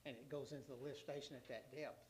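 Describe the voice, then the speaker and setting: A hoarse man reports to a city council in a rambling, halting way, full of restarts and fillers. His voice sits in an ordinary middle register. A faint hum runs underneath. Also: mid-range voice, steady tone. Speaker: city official giving a utility report.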